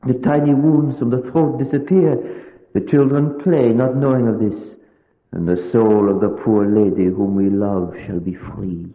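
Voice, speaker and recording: Grave scientist-hunter, low and deliberate, radio horror play, echoing narrow-band sound. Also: male voice, fairly even intonation. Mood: urgent, reverent. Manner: solemn, deliberate, formal old-world phrasing. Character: grave older foreign vampire hunter and scientist, steadfast and pious. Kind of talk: old-time radio drama acting. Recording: vintage radio, tinny narrow band, echoey hall reverb.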